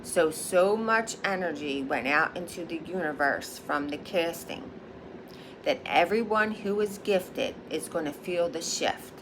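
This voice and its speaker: Low-voiced Philadelphia woman, emphatic. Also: animated, measured pace, noisy background. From Philadelphia, United States. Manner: emphatic, repeats points, frequent "okay?". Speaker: high priestess and psychic. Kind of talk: casual vlog monologue.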